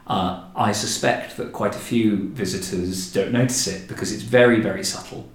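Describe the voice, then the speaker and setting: A man hosting a podcast in a measured, articulate, deliberate and descriptive way. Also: lowish voice, fairly even intonation. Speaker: podcast host, director of a historic house. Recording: roomy, echoing indoor sound.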